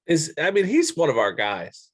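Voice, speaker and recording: A man with a slightly high, very animated voice co-hosts a sports show. He banters casually and poses questions with playful, theatrical flair. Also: breathy edge.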